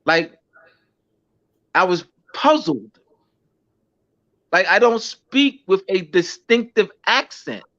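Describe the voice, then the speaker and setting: Man, halting and somewhat high-pitched. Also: animated, a bit bright. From United States. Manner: halting, many restarts and fillers. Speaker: schoolteacher guest. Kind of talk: casual interview talk. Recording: dull lo-fi recording.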